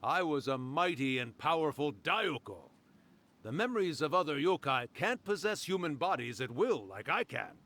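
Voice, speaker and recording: A man playing a mischievous, boastful fox-spirit yokai in a video game, with a theatrical, teasing, drawn-out delivery. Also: on the high side, very animated, a bit bright. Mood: jaunty boasting. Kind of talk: video game character acting.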